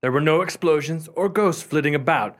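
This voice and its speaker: A man acting as a nervous, superstitious gentleman in a mystery radio play, with a fussy, formal and exclamatory delivery. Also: fairly high pitch, a little fast, lightly acted. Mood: curious, startled.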